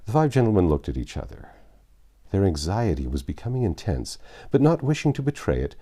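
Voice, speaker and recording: Male narrator, low mellow voice, very animated intonation, theatrical storybook reading. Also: brisk. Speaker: audiobook narrator. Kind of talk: scripted narration of a classic novel.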